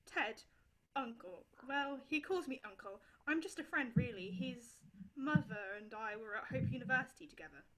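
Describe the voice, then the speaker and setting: A woman reading the part of a quiet, awkward middle-aged man who is a family friend, in an amateur online play reading, speaking softly and hesitantly. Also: a little high, normal speed, a loud crowd, lightly acted, rough recording.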